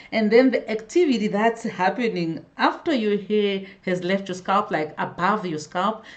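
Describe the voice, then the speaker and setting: A woman hosting a casual live stream, chatting to viewers, greeting them by name and often restarting her sentences. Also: lowish voice. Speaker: hair care vlogger hosting a live stream.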